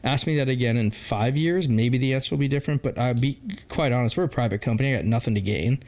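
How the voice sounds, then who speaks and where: A man's voice: medium pitch, quick pace, darkish tone. A forty-year-old man answering interview questions as a brewery founder, candid and rambling, with lots of fillers and laughs. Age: 40s.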